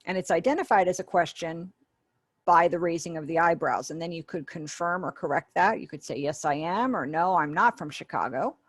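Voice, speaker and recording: Low-voiced woman teaching online. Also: brisk, no room sound. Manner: patient, explanatory, acts out example phrases. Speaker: sign language instructor's voice. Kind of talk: online sign language lesson. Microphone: webinar video call.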